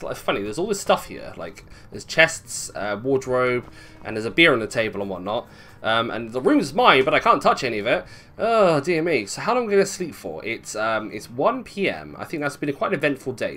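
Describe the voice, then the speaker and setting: Animated, playful man commentating on gameplay. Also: mid-range voice. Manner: playful, chatty, sweary, thinks aloud. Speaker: gaming video host.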